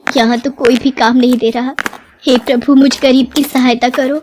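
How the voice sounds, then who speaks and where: A woman's voice: high-pitched, little pitch movement, moderate pace, darkish tone, slight background noise, pitched above the performer's own voice, lightly acted. A woman voicing a poor, humble young village mother in a cartoon story, polite and pleading. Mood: distressed, pleading.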